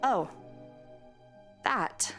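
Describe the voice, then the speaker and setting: A woman's voice: very slow, fairly bright tone. A woman playing a supportive, perceptive wife in a comic dub, speaking with earnest reassurance. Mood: knowing, tender. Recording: music behind the voice. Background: music.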